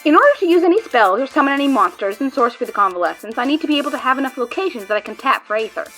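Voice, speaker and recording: A woman as an excitable nerdy card gamer. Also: sits high. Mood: eager. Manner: fast, excitable, dramatic. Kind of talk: audio drama acting. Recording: faint music behind.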